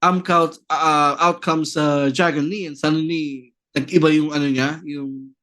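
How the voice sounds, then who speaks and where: A Filipino-accented man on a casual podcast, his voice a touch high for a man. He lays out his argument step by step, padding it with small fillers as he goes. His tone stays conversational and even-handed. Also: moderate pace. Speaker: podcast co-host. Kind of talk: casual chat mixing English and Tagalog.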